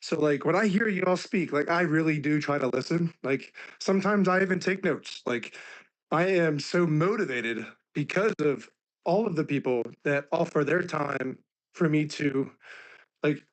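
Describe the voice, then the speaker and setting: Raspy man in his thirties. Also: fairly high pitch, normal speed, poor audio. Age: 30s. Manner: says "like" constantly, earnest. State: raspy from laryngitis. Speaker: speaker on a live stream. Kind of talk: casual heartfelt talk.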